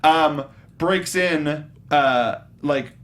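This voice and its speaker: A man hosting a review show, talking casually and haltingly in a geeky, informal way. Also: fairly high pitch, a bit bright. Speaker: host of a film review show. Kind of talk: casual panel discussion.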